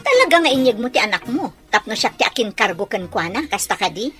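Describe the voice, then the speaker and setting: A woman's voice: a little high, very animated, fast, over music. A woman acting a blunt, reproachful, nagging older female relative in an Ilocano radio drama, with an Ilocano accent.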